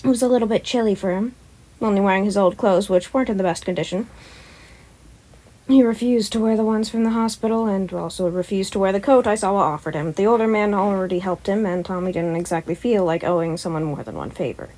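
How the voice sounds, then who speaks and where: A woman reads a story aloud as an amateur narrator. Her voice sits at an ordinary pitch, and she delivers the prose expressively, like someone sharing fan fiction. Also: quick pace, dark tone, poor audio.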